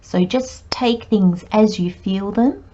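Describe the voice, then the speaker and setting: A woman giving a tarot reading with a croaky voice, talking in an unhurried, meandering way with many pauses and fillers. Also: mid-range voice, mellow, lo-fi sound. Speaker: tarot reader. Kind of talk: spoken tarot reading monologue.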